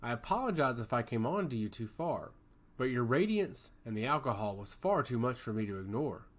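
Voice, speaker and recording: A man voicing a charming god of chaos in a fantasy story, speaking with calm, theatrical confidence. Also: medium pitch, fairly quick. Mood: apologetic.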